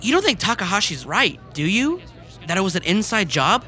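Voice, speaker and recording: A woman playing a chatty, excitable office coworker in an audio drama, gossipy and friendly. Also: medium pitch, brisk, slight background noise. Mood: concerned, chatty.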